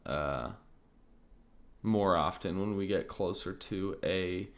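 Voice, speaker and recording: A man streaming crypto market commentary in a casual, thinking-aloud way, his voice slightly raspy and often interrupted by throat clearing. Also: sits low, slow pace. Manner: relaxed, thinking aloud, many fillers like "like" and "um".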